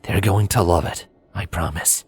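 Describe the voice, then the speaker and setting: A man's voice: low-pitched, moderate pace, husky, no room sound, faint music behind. A man voicing a kindly, reassuring male pony in a fan fiction story reading. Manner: reassuring, casual. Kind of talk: fan fiction audiobook character voice.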